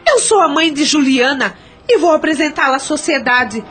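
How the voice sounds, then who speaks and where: A woman's voice: sits high, moderate pace, fairly bright tone. A woman playing a vindictive, seductive possessed mother in an audio drama, haughty and insistent. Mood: defiant.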